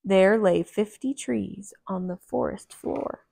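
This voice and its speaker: A woman reading a children's picture book aloud in a deliberate, expressive storyteller's manner. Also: medium pitch, gravelly, breathy edge, no room sound.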